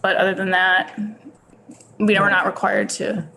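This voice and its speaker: A woman's voice: mid-range voice, flat delivery, normal speed, vocal fry, pushed and bright, slight background noise. A woman answering a committee's questions as a witness, with short, affirming replies. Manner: brief, affirming answers with yeahs. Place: legislative committee hearing.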